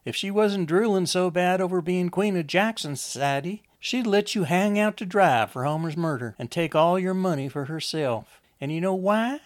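A man's voice: sits high, moderate pace, hardly any pauses, voice pushed up, natural voice. A man voicing a wounded, frightened young country woman, pleading and insistent in an audiobook story. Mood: bitter, warning. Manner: folksy, insistent, urgent.

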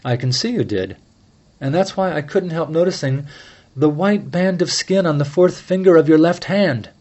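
A man voicing a calm, incisive amateur sleuth who lays out his case in measured, formal speech, for an audiobook. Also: on the high side, dull audio, voice pushed up. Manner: measured, mild yet relentless, formal address.

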